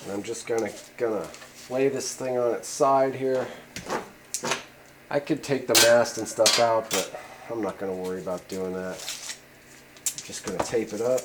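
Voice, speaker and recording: A man's voice: fairly low pitch, background noise. A man in a home workshop giving a rambling, casual how-to talk with many fillers. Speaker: channel host demonstrating a repair.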